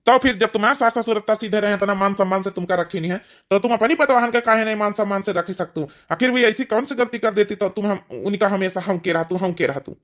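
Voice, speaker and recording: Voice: medium pitch, quick pace, hardly any pauses, close to an everyday voice. An actor playing a reasoning older village husband in an Awadhi cartoon comedy, lecturing and repeating points. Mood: admonishing. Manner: lecturing, repetitive.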